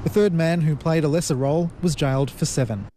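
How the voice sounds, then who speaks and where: A man's voice: fairly high pitch, brisk, mellow. A man delivering a scripted court report for radio news, recorded with some outside noise.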